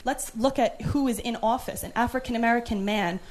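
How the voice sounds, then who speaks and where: A woman's voice: a little high, fairly quick, darkish tone. A young woman in her twenties arguing her view assertively in a group discussion. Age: 20s. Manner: assertive, argumentative. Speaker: young voter in a panel. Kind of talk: panel discussion.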